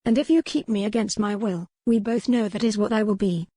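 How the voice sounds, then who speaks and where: A woman's voice: mid-range voice, a little fast, warm tone, no room sound, natural voice. A woman voicing a strong-willed captive noblewoman in an audiobook, formal, pointed and challenging. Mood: solemn, resolute. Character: defiant, sharp-witted captive noble lady, strong-willed. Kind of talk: audiobook character dialogue.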